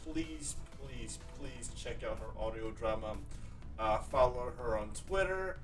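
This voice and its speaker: A man hosts a podcast in a casual, conversational style, with an average-pitched voice. He rambles and doubles back on his phrases. He sounds a little away from the mic, with faint music underneath. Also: measured pace, distant mic. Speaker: podcast host. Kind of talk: casual conversational interview talk.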